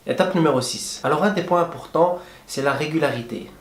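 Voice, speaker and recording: A man's voice: fairly high pitch, a little fast. A young man giving friendly, plain-spoken advice straight to his viewers in a casual travel vlog. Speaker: travel vlogger. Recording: echoey room.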